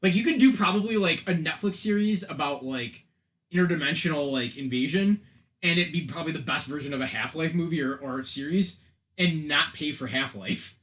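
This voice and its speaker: A man's voice: on the high side, fairly quick, a bit bright. A man in a casual podcast discussion, talking analytically in a rambling way, often saying "like". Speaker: podcast panelist. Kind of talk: casual film and game discussion.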